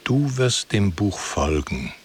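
An actor playing an ancient wandering guardian of a book, giving grave, cryptic answers in a poetic radio drama. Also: male voice, on the low side, big pitch swings, slight background noise. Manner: slow, cryptic, solemn answers.

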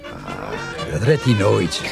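An actor playing a blunt, stubborn village farmhand in a radio drama, husky and earthy in his way of talking. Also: low-pitched, huge pitch swings, takes it slowly, over music, heavily acted. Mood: chatty. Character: blunt, stubborn, nosy Dutch village farmhand, plain-spoken. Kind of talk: naturalistic radio drama acting.

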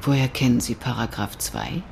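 Cool, low-voiced female detective. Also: sing-song, normal speed, vocal fry, darkish tone, slight background noise, heavily acted. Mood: cool, probing. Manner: insistent, matter-of-fact questioning. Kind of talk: naturalistic German radio drama acting.

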